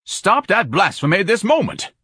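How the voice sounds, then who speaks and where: A man's voice: medium pitch, lively intonation, fairly quick, a bit bright, breathy edge, no room sound, studio quality. Male narrator as pompous fat man, grandiloquent, self-pitying, easily outraged, muddied voice, audiobook character. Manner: pompous, grandiloquent, ornate wording.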